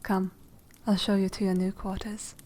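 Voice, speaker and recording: A woman playing a polite, secretive young noble vampire lady in a soft, half-whispered ASMR roleplay, speaking formally and with measured care. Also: mid-range voice, flat delivery, slight background noise, close to an everyday voice. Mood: courteous, reserved. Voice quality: soft, breathy whispering edge. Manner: hushed, formal, measured, genteel.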